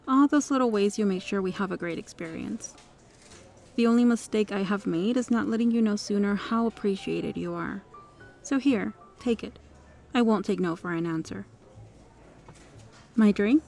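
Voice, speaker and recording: A woman plays a kind, caring restaurant customer in an ASMR roleplay. Her voice is mellow and mid-pitched, with a soothing, reassuring manner over faint music. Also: close to an everyday voice. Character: kind female restaurant regular, gentle, caring stranger.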